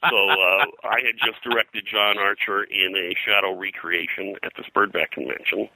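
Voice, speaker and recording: An older man reminiscing as an interview guest, rambling and halting, often repeating words as he goes. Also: sits low, fairly even intonation, creaky, pushed and bright, a little hiss or hum, rough recording. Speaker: interview guest, pop culture historian and pulp publisher.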